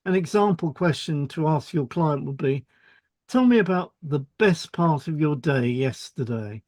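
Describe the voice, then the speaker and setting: A man's voice: on the high side, lively intonation, unhurried, darkish tone, no room sound. A man reading a scripted explanatory podcast as co-host.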